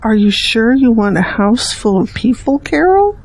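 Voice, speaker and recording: A woman plays a caring wife consoling her friend in an audio drama. Her highish voice is mellow, and she speaks slowly and reassuringly. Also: sing-song, breathy edge, no room sound, heavily acted, poor audio. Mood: concerned. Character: comforting, caring wife and loyal family friend.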